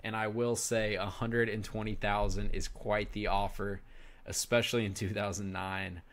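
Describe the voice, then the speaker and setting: A man's voice: on the low side, flat delivery, normal speed, a bit bright. A man in his twenties giving casual reaction commentary as a finance video host, chatting to his viewers and calling them "guys" often. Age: 20s.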